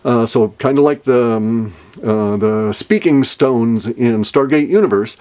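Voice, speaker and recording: A man's voice: mid-range voice, unhurried, darkish tone. An older man hosting a solo talk, rambling and speculative, with many fillers and restarts. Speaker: podcast host. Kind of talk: casual solo monologue.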